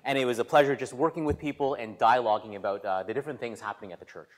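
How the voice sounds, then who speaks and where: A man preaching as a guest speaker, pausing for an interpreter, with stop-start phrasing, repeated words and light self-deprecating humor, in a reverberant church. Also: medium pitch. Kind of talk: sermon delivered through an interpreter. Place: church sanctuary.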